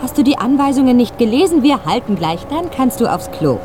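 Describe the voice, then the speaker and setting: An actress playing a bossy, impatient female teacher in a radio drama, briskly ordering children about and talking down to them. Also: fairly high pitch, very animated, noisy background. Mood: sharp, scolding. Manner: brisk, scolding, talks down to children.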